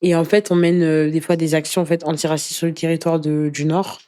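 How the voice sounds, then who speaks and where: A woman giving personal testimony as an interview guest in casual conversation, earnest and halting, often repeating words as she searches for the next. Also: low-pitched, flat delivery, mellow. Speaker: interview guest, anti-racism activist.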